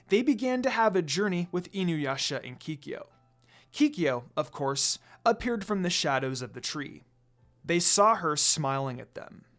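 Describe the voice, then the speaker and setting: A man's voice: fairly high pitch, a bit bright. A man narrating a scripted fantasy story as a storyteller.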